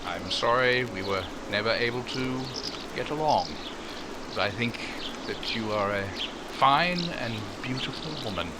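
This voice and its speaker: A man playing a proud, awkward gentleman suitor in 1940s radio romance style, formal and hesitant. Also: mid-range voice, expressive, unhurried, very noisy, lightly acted. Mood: wounded pride.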